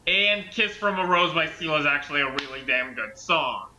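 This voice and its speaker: A man's voice: fairly high pitch, expressive, normal speed, pushed and bright, a little hiss or hum. An enthusiastic man giving casual, emphatic comic commentary as a co-host. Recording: echoey room.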